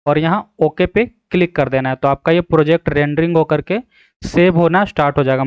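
A man's voice: a little high, clear, resonant tone, dry recording, dull audio. A man narrating a casual step-by-step app tutorial in an instructional manner, recorded as a voice-over. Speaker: tutorial host.

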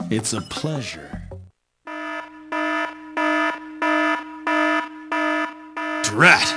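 Cocky, smug bounty hunter. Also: male voice, on the high side. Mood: cool, smug. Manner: smug, taunting, cool. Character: cocky, cynical male robot bounty hunter. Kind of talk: video game cutscene acting.

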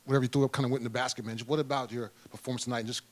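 A man's voice: mid-range voice, steady tone, very fast, no room sound. A man reporter asking a player questions in a casual way at a press conference.

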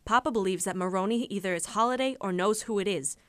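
A woman's voice: medium pitch, quick pace, clean tone, dry recording, close to an everyday voice. A woman playing a dutiful, businesslike daughter of a crime family in a noir radio drama.

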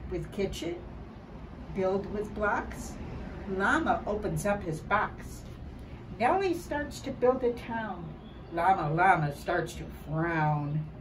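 An older woman reading a children's picture book aloud in an expressive, deliberate way, dramatizing it for young children. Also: medium pitch. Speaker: early childhood storybook reader. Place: outdoors by a building wall and door. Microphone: camera a short distance away. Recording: distant mic, clear background noise outdoors.

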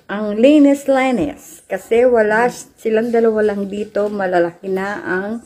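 A woman's voice: medium pitch, fairly even intonation, darkish tone. A woman with a Filipino accent giving a playful, chatty house tour, switching between Filipino and English as she walks from room to room with a handheld phone. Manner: playful, mixes Filipino and English, slow drawn-out phrasing. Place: indoors in a house, walking room to room. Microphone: handheld phone camera.

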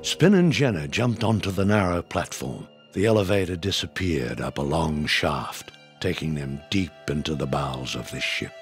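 A man's voice: very deep voice, animated, moderate pace, hardly any pauses, faint music behind. A man giving scripted, dramatic narration for an audio drama.

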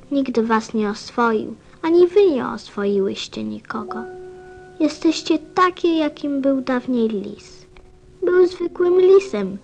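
A woman playing an innocent, earnest little boy prince in an audio play, gentle and thoughtful. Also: fairly high pitch, vocal fry, heavily acted.

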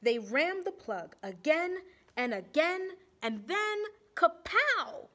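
A young woman reading a children's picture book aloud expressively, deliberately, pausing to ask and explain. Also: very high-pitched, very animated, sharp tone. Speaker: storytime reader for children. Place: small indoor room with bulletin board.

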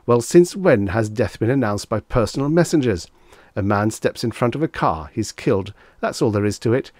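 A man's voice: fairly low pitch, animated, normal speed, darkish tone, no echo, clean studio sound, pitched above the performer's own voice. A man voicing a brash, sarcastic, easily rattled man in a dramatised story reading, with blustering, exclamatory delivery. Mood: scornful, triumphant.

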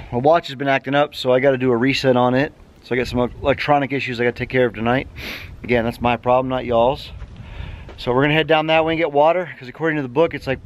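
A man's voice: mid-range voice, a little hiss or hum. A man, chatting casually to the camera on a mountain trail. He talks in an easygoing, folksy way and sounds tired. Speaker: thru-hiker vlogger. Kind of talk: casual trail vlog narration. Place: outdoors on a wooded mountain trail. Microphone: handheld camera at arm's length.

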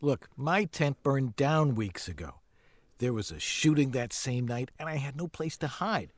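Man playing an easygoing homeless guy, rambling, lively pitch, dry close mic. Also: unhurried, no echo. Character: unhoused young man squatting in shop.

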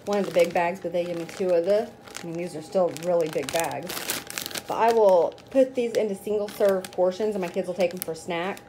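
A woman in her thirties casually chatting through her grocery haul, naming each item as she unpacks it. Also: medium pitch. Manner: chatty, itemizing each product. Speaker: vlogger. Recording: noticeable background noise. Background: rustling bags and crinkling packaging.

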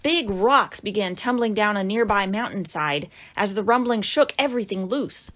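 Animated woman reading a children's storybook. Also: medium pitch, moderate pace. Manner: animated, dramatic exclamations for young listeners. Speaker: author reading own picture book. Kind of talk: expressive children's storybook narration.

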